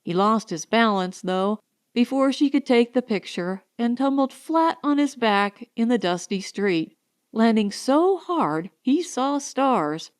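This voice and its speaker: Audiobook narrator: woman, higher register, very measured pace, scripted storytelling. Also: animated.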